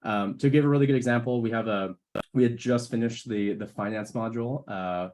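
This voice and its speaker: A man's voice: mid-range voice, a little fast, noisy background. An American man, a current student, sharing his experience in a casual, conversational way with many fillers and restarts, over a video call. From United States. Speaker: current scholar and student speaker. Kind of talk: info session talk on a video call.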